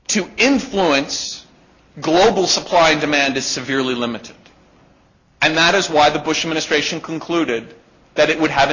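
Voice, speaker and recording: Loud guy giving a talk, keeps going "right?", kinda muffled lo-fi audio. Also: fairly high pitch. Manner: emphatic, with rhetorical "right?" checks. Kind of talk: live policy talk to an audience. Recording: dull lo-fi recording.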